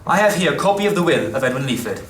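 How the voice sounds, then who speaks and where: A man acting a stern but kindly well-to-do gentleman in an amateur radio play, with a formal, declamatory delivery. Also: a little high, very animated, rapid-fire. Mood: gentle, explaining. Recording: echoey hall from far off, faint noise.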